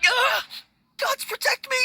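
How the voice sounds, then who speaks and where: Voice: very high voice, fairly even intonation, measured pace, creaky, pushed and bright, raised voice, a little hiss or hum, heavily acted. An actor playing a timid young male rookie undertaker in a video game, pleading and stammering in fear. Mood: terrified.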